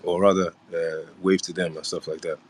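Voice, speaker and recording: Deep-voiced young man chatting casually. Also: a little fast, a little hiss or hum. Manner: relaxed, rambling, with frequent fillers and restarts. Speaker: reality show former housemate hosting a live audio room. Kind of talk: casual live audio chat with fans.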